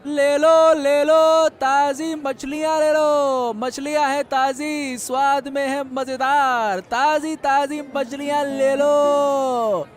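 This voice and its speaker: A man's voice: very high-pitched, flat delivery, slow, few pauses, faint music behind, some chatter behind. A man playing a suspicious, exclaiming village fish seller in a cartoon story. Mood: hawking.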